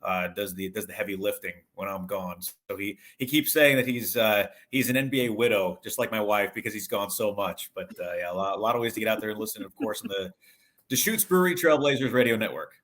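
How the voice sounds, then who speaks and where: Low-voiced man talking sports. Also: expressive, fairly quick, fairly bright tone. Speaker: radio play-by-play sports broadcaster, guest. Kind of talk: sports interview analysis, conversational.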